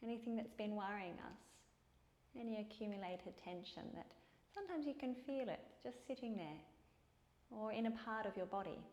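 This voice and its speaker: A woman teaching yoga and guided meditation in a calm, soothing way, with unhurried pauses between phrases. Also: medium pitch, lo-fi sound. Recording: echoey room.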